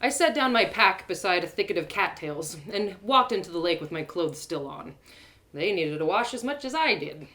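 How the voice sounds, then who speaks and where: A woman narrating her own audio drama with an expressive, animated delivery. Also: on the low side, moderate pace. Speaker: storyteller.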